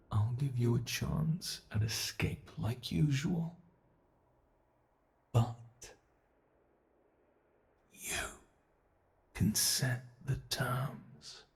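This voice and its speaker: A man voicing a sinister, playful monster in an ASMR horror roleplay, gravelly and sometimes breathy, taunting with theatrical, unhurried menace. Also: medium pitch, a little mellow. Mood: coaxing, smug. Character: sinister playful male monster hunting its captive.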